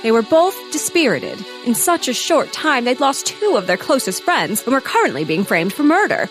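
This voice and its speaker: A woman's voice: on the high side, big pitch swings, normal speed, music in the background. A woman narrating an audio drama. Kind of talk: scripted narration.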